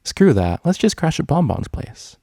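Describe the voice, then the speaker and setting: A man's voice: fairly high pitch, big pitch swings, normal speed, gravelly, mellow, no room sound, studio quality, voice pushed up, full character voice. A man voicing a young unicorn DJ filly, cheeky, casual and optimistic, with breezy slangy speech in a story reading.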